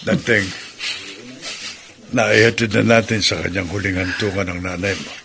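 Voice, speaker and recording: An actor playing a grave old village grandfather in a radio drama, with a gravelly voice. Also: deep voice, fairly even intonation, moderate pace, bright, forceful tone, a little hiss or hum, heavily acted. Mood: solemn. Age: older.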